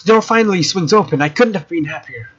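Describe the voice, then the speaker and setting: A man's voice: fairly high pitch, expressive, quick pace, slightly rough, lo-fi sound. A man narrating a let's play, reading game text aloud and adding informal, joking asides. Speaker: let's player. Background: game music.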